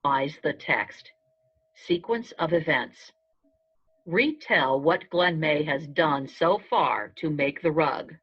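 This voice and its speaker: A woman narrating a children's storybook aloud in the first person, in a measured storytelling manner. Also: deep voice, breathy edge. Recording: dull, lo-fi sound.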